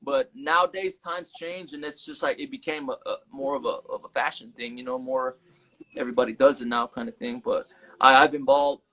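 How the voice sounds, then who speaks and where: A man's voice: mid-range voice, steady tone, a little fast, bright, forceful tone, low-quality recording. A man from Las Vegas, a guest rapper, talking casually in a live-stream interview and mixing Spanish words into laid-back street talk. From Las Vegas, USA. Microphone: phone on a live stream.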